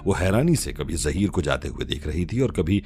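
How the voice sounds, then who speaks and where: A man narrating a scripted drama story in a measured, storytelling manner. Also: low voice, big pitch swings, a little mellow. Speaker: audiobook narrator. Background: background music.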